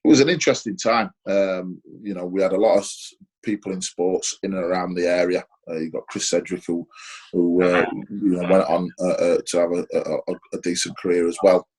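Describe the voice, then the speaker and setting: A deep, low-pitched man from Sheffield with a husky edge to his voice. He's in his forties and talks through his answers haltingly, circling back with plenty of fillers and restarts, in a relaxed interview. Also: poor audio. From Sheffield, England. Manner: hesitant, many fillers and restarts. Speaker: interview guest, former footballer.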